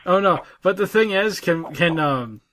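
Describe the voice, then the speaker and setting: Man, slightly raised pitch, casual podcast chat, rambling, stop-start delivery, profane. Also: animated, measured pace, heavy background noise. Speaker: podcast co-host.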